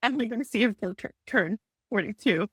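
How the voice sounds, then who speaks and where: A woman in her early forties giving personal testimony on a panel, speaking candidly and haltingly, often circling back to repeat phrases. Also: mid-range voice, expressive, fairly bright tone, slightly rough, dry recording, studio quality. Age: early 40s. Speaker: panelist, cancer patient and mother.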